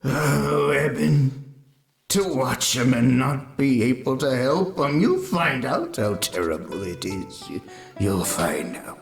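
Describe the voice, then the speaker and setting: A man's voice: medium pitch, expressive, slow pace, gravelly, roomy sound, faint music behind. A man playing the sorrowful ghost of a cowboy in a Western radio play. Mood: mournful. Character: Jake, sorrowful male ghost of old cowboy friend.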